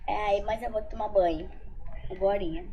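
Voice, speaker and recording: A young woman, slightly hoarse, rambling through a home vlog and talking right to her viewers. Her pitch sits at a middling height, and there's a faint hiss under the recording. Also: steady tone, moderate pace, lo-fi sound. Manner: chatty, rambling, addresses viewers directly. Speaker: vlogger. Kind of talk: casual vlog chat.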